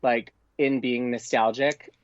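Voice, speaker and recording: A man's voice: medium pitch, fairly even intonation, takes it slowly, no room sound. A young man chatting casually and effusively as a podcast guest, often saying "like", calling in remotely. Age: young adult. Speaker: podcast guest, actor and comedian. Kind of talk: casual chat. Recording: dull lo-fi remote call.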